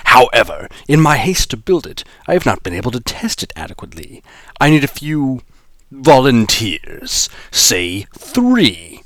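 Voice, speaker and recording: A man's voice: medium pitch, wildly swooping pitch, a little slow, a bit bright, no room sound, pitched above the performer's own voice. Guy doing a boastful alien villain boss, grand and menacing, dry close sound. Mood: gloating.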